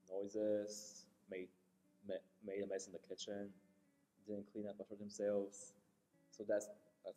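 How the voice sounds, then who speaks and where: A man's voice: fairly low pitch, dark tone. A young man from Bulgaria, speaking carefully with restarts in a documentary interview. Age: young adult. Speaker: student interviewee. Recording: echoey hall with faint guitar.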